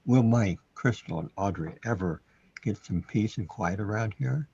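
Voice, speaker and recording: Man acting radio serial male, husky, lowish pitch, measured slow delivery, dull lo-fi sound. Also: a little mellow, no echo. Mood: mock-dramatic. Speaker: announcer and actor. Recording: dull lo-fi recording.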